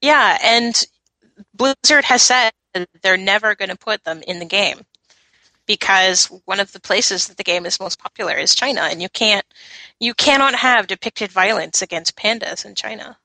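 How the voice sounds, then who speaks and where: A woman's voice: mid-range voice, normal speed, vocal fry, sharp tone, no room sound, poor audio. A woman chatting casually as a podcast panelist, blunt and emphatic in giving her opinions. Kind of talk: casual, opinionated gaming chat.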